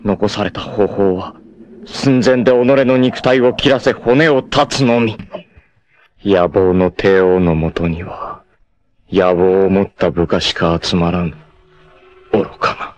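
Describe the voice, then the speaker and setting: A proud, fierce young warrior from a 1980s anime. His voice sits fairly low, and his lines come grave and intense, breaking into anguished shouts. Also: takes it slowly. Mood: tense. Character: proud young male martial arts warrior, fierce and devoted.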